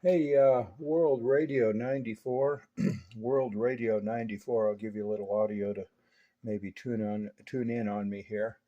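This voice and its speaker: A man's voice: on the low side, steady tone, unhurried, a little hiss or hum. An older American man talking casually as a CB radio operator, folksy and chatty, with plenty of radio jargon. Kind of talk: casual radio check-in chat using CB jargon. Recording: dull, lo-fi audio.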